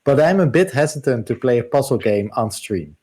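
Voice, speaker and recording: A man's voice: medium pitch, expressive, a little fast, darkish tone, slight background noise. A man co-hosting a gaming livestream, talking casually and rambling with lots of fillers. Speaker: co-host.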